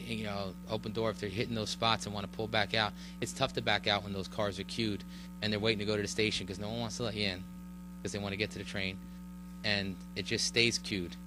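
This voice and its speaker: A man speaking at a village board meeting, explaining a road project in a halting way with many fillers and restarts. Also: fairly low pitch. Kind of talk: public meeting testimony. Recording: mains hum under the voice.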